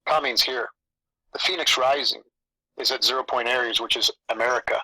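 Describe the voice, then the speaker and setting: A man's voice: medium pitch, brisk, bright, forceful tone, no room sound, rough recording. A man who grew up in Canada with Italian as his first language, rambling through a casual spiritual chat and repeating phrases. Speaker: spiritual channel host.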